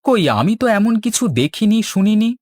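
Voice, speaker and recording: Man acting cheeky young husband, animated delivery. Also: high-pitched, normal speed, dry recording, clean studio sound. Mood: bickering. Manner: teasing, argumentative. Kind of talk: cartoon dialogue.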